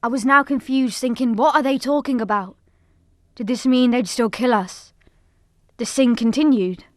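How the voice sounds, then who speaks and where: A frightened teenage girl played by a woman narrates an audio drama in a high voice. Her delivery is emotive and dramatic, recorded close and dry. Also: moderate pace, darkish tone, dry recording, lightly acted. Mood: terrified. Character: frightened fourteen-year-old girl recounting an army attack.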